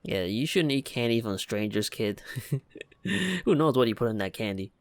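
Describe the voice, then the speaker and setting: Animated man reacting to a game. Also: mid-range voice, normal speed, no room sound. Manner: joking, slangy. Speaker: gaming video creator. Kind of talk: casual reaction commentary over gameplay. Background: game music.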